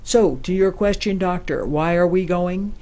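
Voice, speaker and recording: A woman playing a formal, measured ambassador briefing her crew in an audio drama. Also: on the low side, mellow, natural voice. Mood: grave. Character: composed female ambassador leading a secret space mission.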